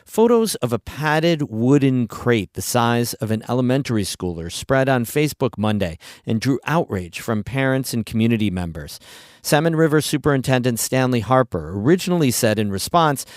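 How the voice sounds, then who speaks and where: A man hosts a public radio news podcast. His voice sits at an average pitch and the sound is very dry. He reads scripted news in a conversational way. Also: animated, normal speed, no echo.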